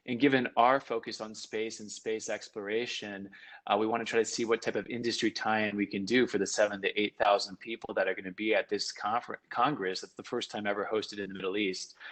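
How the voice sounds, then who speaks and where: Low-voiced man on a video call. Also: a bit bright. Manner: businesslike, many fillers. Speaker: expo pavilion official. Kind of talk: videoconference panel remarks.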